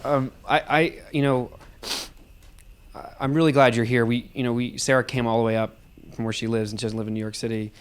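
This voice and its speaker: Brief: male moderator for a live audience talkback, mid-pitched, halting as he gathers thoughts. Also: flat delivery, smooth. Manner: halting, repeats words as he forms thoughts. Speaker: host, panel discussion moderator. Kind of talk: moderating an audience discussion after a performance. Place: live event venue, before an audience.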